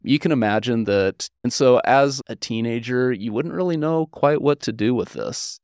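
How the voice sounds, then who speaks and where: A man's voice: medium pitch, normal speed, a little mellow, smooth, no echo, studio quality. A man, a historian, explaining things conversationally as an interview guest.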